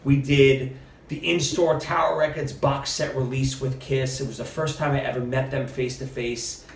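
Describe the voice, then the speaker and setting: A middle-aged man tells stories with plenty of energy, acting out other people's lines as he goes. His voice sits at a middle pitch. He sounds a little far from the mic in a room with noticeable echo. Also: moderate pace, slight background noise. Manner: animated storyteller, quotes others with lively shifts. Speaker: podcast guest. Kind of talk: casual storytelling interview. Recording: roomy, mic at a distance.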